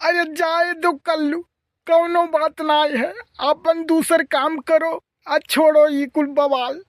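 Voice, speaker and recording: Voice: high-pitched, flat delivery, slow, no room sound, studio quality. A performer voicing a teasing, grumbling cartoon village uncle with a rustic eastern Uttar Pradesh accent and exclamatory, colloquial delivery. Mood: consoling. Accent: eastern Uttar Pradesh (Awadhi). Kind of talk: rustic comedy cartoon dialogue.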